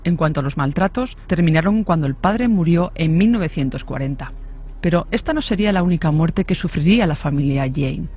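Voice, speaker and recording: High-pitched man narrating dark true crime. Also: lively intonation, brisk. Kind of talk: scripted true-crime narration for a suspense audio story.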